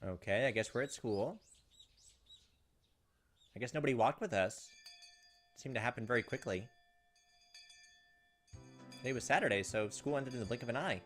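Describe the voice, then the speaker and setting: A man's voice: medium pitch, moderate pace, pauses often, fairly bright tone, soft background music. A man hosting a let's play, reading a visual novel aloud and commenting casually as he goes.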